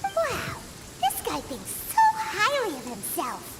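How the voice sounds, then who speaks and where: A small, cheeky fairy companion in a video game, speaking in a very high voice that swoops all over the place. She blurts out blunt asides and talks about herself by name. Loud game music plays underneath her. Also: huge pitch swings, takes it slowly, exaggerated voice. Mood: wry. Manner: refers to herself in third person, blunt remarks. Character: tiny chirpy female fairy companion, cheeky.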